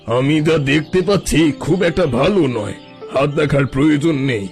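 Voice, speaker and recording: A man voices a crafty cartoon holy man with a fairly high male voice. He puts on self-important airs to swindle villagers, over soft background music. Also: moderate pace, close to an everyday voice. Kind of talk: cartoon story dubbing.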